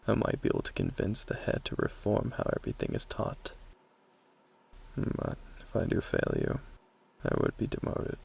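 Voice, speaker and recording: A man playing an earnest, caring magic academy teacher in a soft, whispery ASMR roleplay, speaking in a measured, gently formal way. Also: full character voice. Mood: earnest, determined. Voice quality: whispery, breathy, mostly unvoiced. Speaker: roleplay voice performer. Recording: low-quality amateur recording.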